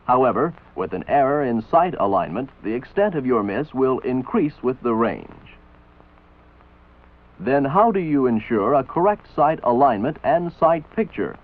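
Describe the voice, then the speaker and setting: American man, training film narrator, authoritative and didactic, lively pitch movement, thin band-limited old soundtrack. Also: normal speed, phone-quality sound. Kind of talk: scripted instructional narration. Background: voice-over for an old military training film.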